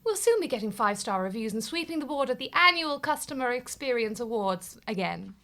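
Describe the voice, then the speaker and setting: An actress playing a melodramatic, bossy and overwrought office manager in a scripted audio comedy. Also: female voice, on the high side, brisk, full character voice. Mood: bossy, decisive. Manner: theatrical, swings from panic to forced calm.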